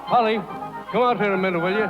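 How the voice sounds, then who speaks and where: A man's voice: sits high, fast, natural voice. Man playing a folksy old circus clown on old radio. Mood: worried. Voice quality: folksy rough-grammar speech. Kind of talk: 1930s radio acting. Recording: muffled 1930s radio recording with music.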